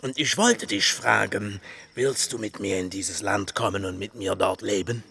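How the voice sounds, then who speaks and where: A man's voice: fairly low pitch, animated, gravelly, bright, forceful tone, a little hiss or hum, heavily acted. An actor playing a lovesick, easily wounded bear businessman in an earnest German radio drama. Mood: earnest proposal. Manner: earnest, slow declarations, breaks into screaming. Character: lovesick bear businessman, tender, pleading, then hysterically wounded.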